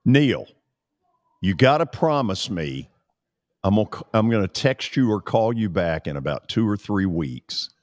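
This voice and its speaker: Lively low-voiced man hosting sports talk. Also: measured pace, no room sound. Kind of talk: casual radio chat.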